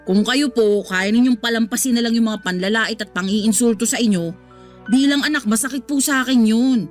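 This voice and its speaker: A woman's voice: a little high, fairly quick, natural voice. A woman playing a feisty, cheeky young adult, fiercely loyal to family, in a radio drama. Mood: apologetic, stubborn. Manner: sassy, teasing back-talk. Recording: music underneath.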